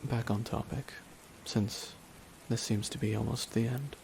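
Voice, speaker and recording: Man acting a brooding supervillain, very slow delivery, hushed and deliberate, long pauses, dramatic ASMR monologue, rain in the background. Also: mid-range voice, gravelly. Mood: pensive, probing. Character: brooding, remorseless male villain at his dying nemesis's side. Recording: steady rain noise behind the voice. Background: rain falling on a surface.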